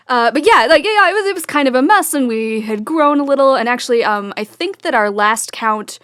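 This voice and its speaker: A woman's voice: high voice, lively intonation, moderate pace, a bit bright, clean tone, no echo, clean studio sound. A woman chatting casually as a guest in a group podcast interview. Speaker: podcast guest, audio drama organizer.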